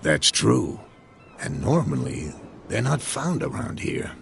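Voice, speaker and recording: Superstitious sailor, gravelly voice, lively swooping delivery, faint ambience. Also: male voice, extremely low pitch, takes it slowly, slight background noise, full character voice. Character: superstitious old male sailor at the docks. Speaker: dockside sailor character. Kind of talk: video game voice acting.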